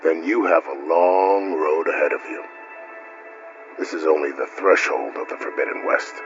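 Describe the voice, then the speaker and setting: An actor playing a weary but helpful frontier officer in a video game, explaining things gravely and steadily. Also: male voice, rumbling low, moderate pace. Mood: grave, concerned. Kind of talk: naturalistic game dialogue. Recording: dull game audio with music.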